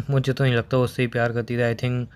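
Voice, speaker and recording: Tearful hoarse young man on a call. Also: mid-range voice, little pitch movement, crowd noise. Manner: subdued, halting, reluctant to open up. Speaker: boyfriend on a phone call. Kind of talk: emotional phone conversation.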